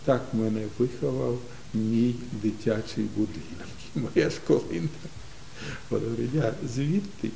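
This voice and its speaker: An older man from Ukraine, telling stories as a guest in an interview, unhurried and full of pauses, recorded in a library studio. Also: lowish voice, gravelly, warm tone, a little hiss or hum, rough recording. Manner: unhurried, many pauses and hesitations. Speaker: guest, community leader. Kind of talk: relaxed storytelling in an interview.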